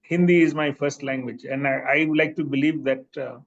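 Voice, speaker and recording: A man with an Indian English accent, a professor answering audience questions in a thoughtful way, pausing with fillers and restarting sentences. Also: a little high, steady tone. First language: Bhojpuri. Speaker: presenting professor. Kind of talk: academic Q&A answer. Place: seminar room. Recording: slightly muffled recording.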